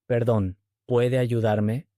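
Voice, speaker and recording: Male host-teacher, mellow voice, even measured read, dry close sound. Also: mid-range voice, no echo. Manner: clear, even, measured delivery. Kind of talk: slow scripted dialogue reading.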